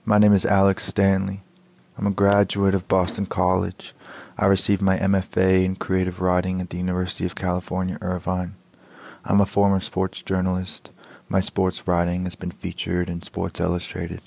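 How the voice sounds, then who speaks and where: A man's voice: low-pitched, completely flat delivery, normal speed, gravelly, a little mellow, no echo. A man, a poet introducing himself and his work. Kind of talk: spoken introduction to a poetry reading.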